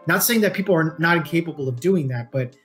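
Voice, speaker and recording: A man's voice: on the high side, expressive, fast, fairly bright tone, soft background music. A man in his thirties co-hosting a discussion, explaining things casually and informally with free use of swearing, recorded on a headset in a room. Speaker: co-host of a read-along book discussion show. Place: indoor room, remote video call.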